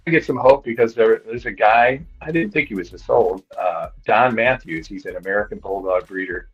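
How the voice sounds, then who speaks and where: A man's voice: on the low side, normal speed, slightly rough, dull audio, soft background music. A man in his sixties, a guest breeder, rambling and thinking aloud in casual conversation. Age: 60s.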